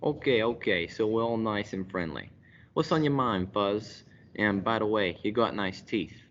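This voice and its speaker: A man's voice: on the low side, moderate pace, lightly acted. A teenage man playing a cocky, sneering mob boss in a radio play, with a slangy wise-guy delivery. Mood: cocky, mocking. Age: teens. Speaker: school radio drama actor. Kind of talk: gangster radio-play acting. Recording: dull audio with faint noise.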